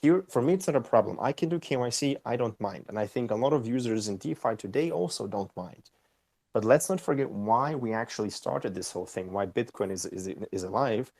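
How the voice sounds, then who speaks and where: A man's voice: medium pitch, lively intonation, brisk, darkish tone, dry recording, studio quality. A man answering interview questions, explaining patiently and often checking with "right?". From Austria. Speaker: company co-founder and CEO, guest.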